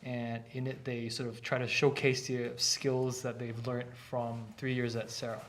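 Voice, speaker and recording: A man's voice: mid-range voice, little pitch movement, a little slow. A man, an art instructor, chatting casually on a live stream and explaining things at length with many restarts. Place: art academy studio.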